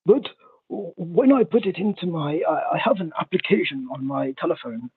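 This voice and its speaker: A man phones in to a comedy talk show, his voice high and husky. He stammers, repeats himself and keeps circling back to the same point. The sound comes through a thin, tinny phone line. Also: animated. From Spain. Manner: halting, repetitive, stammering. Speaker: caller. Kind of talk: rambling comedic call-in.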